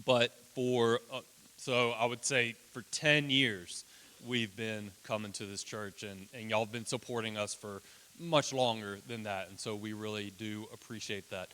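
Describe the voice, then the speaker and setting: A man with a big booming voice, chatting folksily while presenting a children's ministry to a church. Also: steady tone, unhurried, pushed and bright. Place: church hall. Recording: long hall reverb.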